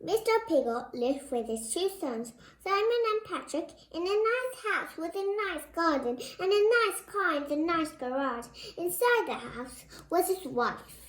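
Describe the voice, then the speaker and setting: Very high-pitched, expressive child storyteller. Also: a little slow. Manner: expressive, exclaiming, giggly. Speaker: storyteller reading a picture book aloud. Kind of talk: read-aloud storytelling with spontaneous side comments. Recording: roomy, echoing home recording.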